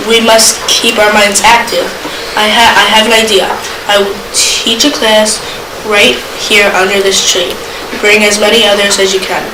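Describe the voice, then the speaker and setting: A woman's voice: medium pitch, level tone, moderate pace, natural voice. A woman in an amateur readers' theater, reading the part of an earnest young enslaved man who yearns for freedom. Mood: weary, determined. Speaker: student actor. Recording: echoey room from far off, noisy.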